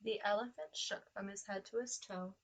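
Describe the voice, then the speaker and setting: A woman reading a children's story aloud with expressive narration. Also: mid-range voice, normal speed, vocal fry, poor audio. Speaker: children's storybook reader, teacher.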